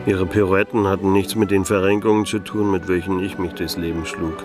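A man playing a hard-boiled private eye in a radio drama. His voice is low and his delivery laconic, dryly ironic and world-weary, with music playing underneath. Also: level tone, brisk, close to an everyday voice. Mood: wry narration. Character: disillusioned private detective narrating his case, dry and world-weary. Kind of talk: noir radio play narration and dialogue.

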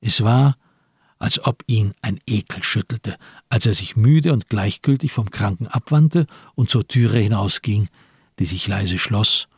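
Very husky, slow male narrator. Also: lowish voice, a little mellow, no echo, studio quality. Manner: measured, grave, sparse phrasing. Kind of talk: scripted radio drama narration.

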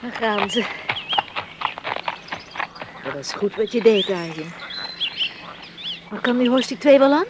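A woman's voice: medium pitch, animated, slow, long pauses, heavy background noise. An actress in a Dutch radio play as a caring but firm, down-to-earth countrywoman. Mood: playful, firm.

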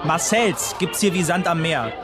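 An actor playing a gruff dance hall staffer in radio drama dialogue. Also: male voice, a little high, lively intonation, a little slow. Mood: brusque. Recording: noisy dance hall crowd and music.

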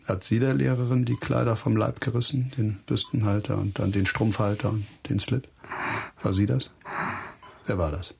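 An actor playing a grim, hard-bitten police investigator in a radio crime drama, speaking insistently and probingly, with music behind him. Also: male voice, fairly low pitch, steady tone, normal speed, gravelly, darkish tone.

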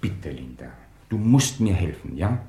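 Radio crime play: cornered suspect, lowish husky voice, swinging from pleading to sardonic. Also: male voice, big pitch swings, measured pace, dark tone, heavily acted. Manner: urgent, sardonic, emotional. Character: accused man, desperate, exasperated, sardonic. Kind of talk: German radio crime drama acting.

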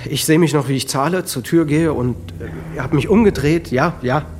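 An actor playing an anxious, worn-out husband with a drinking habit, answering questions in a halting, defensive way in a radio drama. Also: male voice, fairly high pitch, noisy background, lightly acted. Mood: agitated, insistent. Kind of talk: naturalistic radio drama acting.

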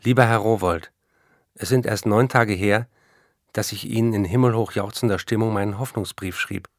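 Radio drama: male actor as an effusive, self-doubting male writer, low-pitched, dry close sound. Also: normal speed, dry recording, lightly acted. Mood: anxious hope. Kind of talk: radio play, reading letters aloud.

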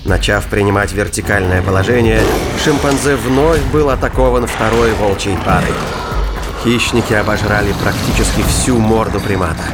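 A man's voice: fairly low pitch, normal speed. A man reading scripted dramatic narration for an audio drama. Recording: loud music and effects behind. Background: music, sound effects.

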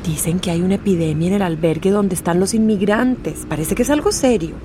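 A woman's voice: on the low side, fairly quick, lightly acted. An actress playing an alarmist, indignant, gossipy townswoman in a radio drama. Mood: alarmed, indignant. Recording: clear vehicle noise behind.

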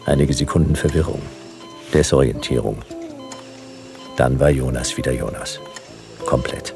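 A man's voice: extremely low pitch, moderate pace, gravelly, music in the background. An actor playing a sardonic private detective who narrates his case in laconic, clipped sentences in a noir radio play. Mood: laconic, dry.

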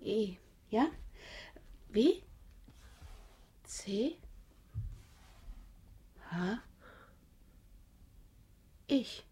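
A woman's voice: mid-range voice, expressive, extremely slow, pauses often, mellow, slightly rough, exaggerated voice. An actress playing a tender, anxious young woman in love in a German radio drama, speaking in short, careful phrases. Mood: anxious, hesitant.

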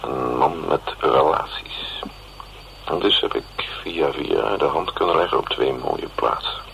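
A gruff investigator with a very deep, gravelly voice, dictating to his secretary. He is wry and grumbling in a colloquial way, on a slightly noisy radio drama recording. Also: a little slow, fairly bright tone, heavily acted. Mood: teasing, boastful.

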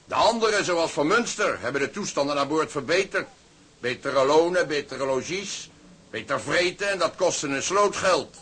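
An older, settled tugboat skipper for radio drama. The voice is forward and bright with a husky grain, somewhat high. He talks knowingly and with resignation. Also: lively intonation, normal speed. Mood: firm, knowing.

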